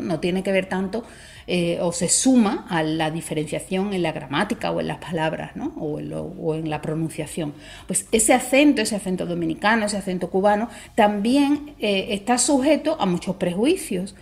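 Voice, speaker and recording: Woman calmly explaining, steady measured voice. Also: medium pitch. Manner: measured, explanatory, with tag questions. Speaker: language expert guest. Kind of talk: conversational explanation on a talk show.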